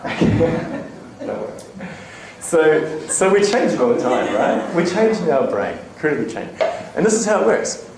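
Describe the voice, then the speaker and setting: A man presenting at a conference to a room of educators, talking in a chatty, animated way and checking in with the audience with quick "okay?" and "right?" tags. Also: fairly high pitch, creaky, a crowd in the background. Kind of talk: live conference talk to educators. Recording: echoey conference room, faint ambient noise.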